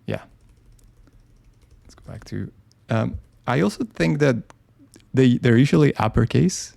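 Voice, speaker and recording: A man's voice: medium pitch, lively intonation, measured pace, gravelly, a little mellow. A man walking through a design tutorial live on screen, talking casually and thinking aloud, with frequent ums and restarts. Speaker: design software presenter.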